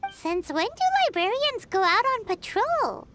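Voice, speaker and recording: A woman voicing a tiny, childlike floating fairy girl in an anime game, chirpy and excitable. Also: extremely high pitch, a little slow, slight background noise, heavily acted. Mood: cheeky, excited. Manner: chirpy, speaks of herself in third person. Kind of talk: anime video game voice acting. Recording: game music behind.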